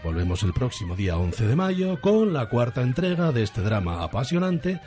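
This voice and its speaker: A man's voice: medium pitch, big pitch swings, moderate pace, a little mellow, soft background music. A man with a Spain accent hosting and narrating a parody radio soap in dramatic scripted style. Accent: Spanish (Spain). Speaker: radio show host and narrator.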